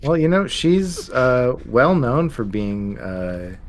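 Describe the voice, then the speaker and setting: A man's voice: medium pitch, sing-song, takes it slowly, slight background noise. A man co-hosting a podcast, chiming in with casual chat. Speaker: podcast co-host.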